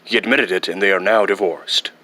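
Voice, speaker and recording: A man voicing a smooth, matter-of-fact second-in-command to a villain in a film comedy impression, measured and businesslike. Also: low-pitched, steady tone, sharp tone, a little hiss or hum, voice pushed down. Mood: dry, corrective.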